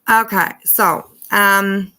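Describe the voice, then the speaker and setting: A woman's voice: medium pitch, fairly even intonation, very slow, sharp tone, smooth. A woman commenting casually and reading documents aloud, irreverent and giggly in manner. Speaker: livestream host.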